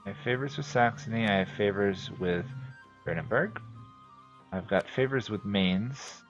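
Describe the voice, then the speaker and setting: A man from New England with a New England accent, casually commenting on his strategy game as he plays and thinking out loud with many fillers. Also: fairly low pitch, a little slow, muffled recording, soft background music. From New England, USA. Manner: thinks aloud, rambling with fillers. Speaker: game streamer. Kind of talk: casual commentary while playing.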